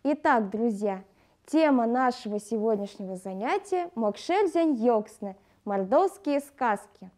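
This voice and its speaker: A woman with a high-pitched voice reads scripted educational narration. Her tone is soft-edged and mellow, and she speaks like a teacher explaining material to pupils. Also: lively intonation, a little slow. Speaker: language lesson presenter.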